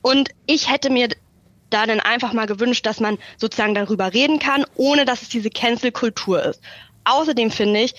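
Higher-pitched woman on a dull, lo-fi line. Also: moderate pace, a bit bright, no room sound. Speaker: interviewed podcast listener. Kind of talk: conversational opinion, spontaneous.

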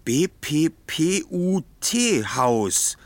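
Methodical young male sleuth for audio drama: slow, lively intonation, dry studio sound. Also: on the high side, dry recording, heavily acted. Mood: concentrating, puzzled. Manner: deliberate, spelling out letters. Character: young male detective, methodical brainy puzzle-solver.

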